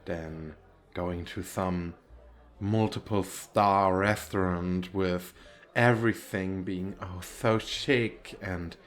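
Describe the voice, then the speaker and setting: A man's voice: low-pitched, steady tone, takes it slowly. A man acting a shy, nervous, wealthy businessman on a speed date in an ASMR roleplay, talking in a hesitant, rambling, self-deprecating way. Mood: sincere, self-conscious. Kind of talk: ASMR roleplay, intimate flirty chat.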